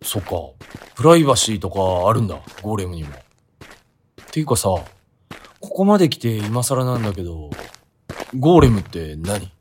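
A man's voice: lowish voice, big pitch swings, a little fast, long pauses, a little hiss or hum. An actor playing a naive, doubtful young man questioning his friend casually in a comic radio drama.

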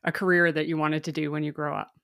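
A woman's voice: low-pitched, little pitch movement, fast talker, vocal fry, a bit bright. A woman hosting an interview podcast, upbeat and eager, reading a scripted intro and then chatting with her guest. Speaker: podcast host.

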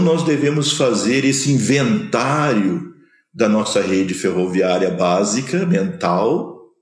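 A man from Minas Gerais, Brazil, with a Minas Gerais accent, gives an unhurried spiritual lesson in a rather low voice. He talks slowly and pauses between short phrases. The sound carries a noticeable room echo. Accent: Brazilian Portuguese, Minas Gerais. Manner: slow, measured, pausing between phrases. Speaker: spiritual teacher. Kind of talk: spoken lecture on scripture.

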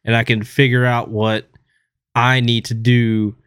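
A man speaking slowly and deliberately in a casual podcast chat. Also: medium pitch, little pitch movement, fairly bright tone, slight background noise.

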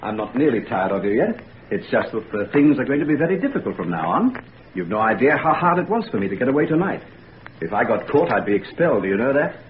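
An actor voices a smooth, manipulative young student in an old radio drama, coaxing and persuasive. His pitch moves in a lively way. The sound is thin and phone-like, with a faint hiss. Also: fast, lightly acted. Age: young adult. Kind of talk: 1940s radio acting.

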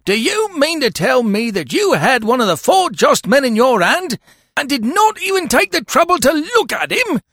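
A man voicing an impatient, commanding, quick-tempered police detective in an audiobook, with stern, urgent delivery. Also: mid-range voice, wildly swooping pitch, vocal fry, dry recording, studio quality, pitched above the performer's own voice, heavily acted. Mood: furious, thundering. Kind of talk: audiobook character voice.

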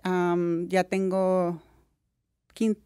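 Mexican-accented woman, middle-aged, smooth flat low delivery, relaxed guest with fillers. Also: extremely slow, dry recording, clean studio sound. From Mexico (Jalisco). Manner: relaxed, many "am" fillers, mixes English words. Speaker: guest, registered nurse. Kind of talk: casual interview.

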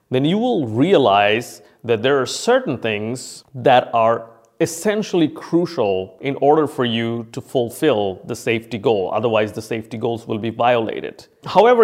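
A man speaks as a podcast host and seasoned trainer, at a middling pitch. He explains things conversationally but with emphasis, coming back to key phrases to drive them in. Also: darkish tone. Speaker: podcast host and functional safety consultant and trainer.

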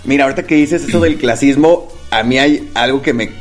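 A young man with a Guadalajara Mexican accent, telling stories in relaxed, slangy podcast chat. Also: medium pitch, fairly quick, soft background music.

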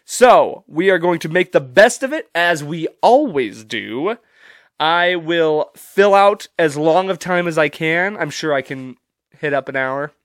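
A man's voice: a little high, lively intonation, unhurried, no room sound, studio quality. A young man hosting a solo podcast, stuffy and congested from allergies, talking casually and rambling with loose, profane humor. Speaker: podcast host.

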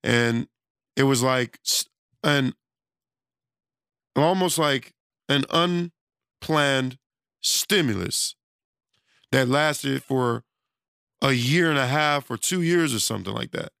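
A man talking off the cuff with a gravelly, fry-tinged voice that is going hoarse as he loses it. The tone underneath stays smooth and resonant. The recording is close and dry, and he rambles and restarts his thoughts in a relaxed, conversational way. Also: medium pitch, slow pace, long pauses, dry recording. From United States. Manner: loose, rambling, conversational, with many restarts and fillers. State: losing his voice. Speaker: self-employed studio owner. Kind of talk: casual monologue.

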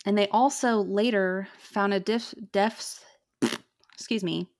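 Chatty woman with a gravelly edge. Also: steady tone, slow pace. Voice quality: says she has a fairly deep voice. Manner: chatty, lots of "like" and "um". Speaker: podcast host telling the story. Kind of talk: casual storytelling chat.

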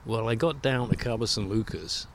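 Older English man, low self-mocking storyteller. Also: moderate pace, vocal fry, fairly bright tone, slight background noise. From England. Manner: self-deprecating, chuckling anecdotes. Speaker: guest author. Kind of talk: relaxed storytelling interview.

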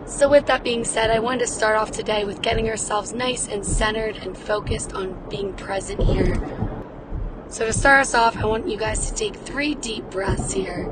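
A woman hosting a wellness podcast, her voice a bit raspy from cheering, talking in an upbeat, encouraging way and guiding breathing exercises. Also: medium pitch, moderate pace. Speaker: podcast host. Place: in a car. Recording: car noise in background.

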